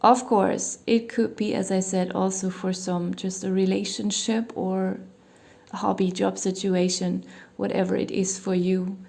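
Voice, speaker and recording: A woman giving a relaxed tarot reading in a mellow, even tone, her voice a little hoarse from a cold. She wanders through her thoughts with plenty of fillers and soft hedges. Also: mid-range voice, unhurried. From Germany. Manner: meandering, hedging, many "you know" fillers. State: losing her voice, slightly hoarse. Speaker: tarot reader. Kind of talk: casual tarot reading monologue. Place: home near a hospital, sirens outside.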